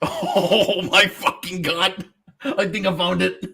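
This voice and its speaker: A man's voice: high-pitched, fairly even intonation, slow, creaky, sharp tone, slightly rough. A man co-hosting a podcast in casual chat, loose and profane, laughing easily as he talks. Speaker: podcast co-host. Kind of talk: casual chat reacting to anime dubs.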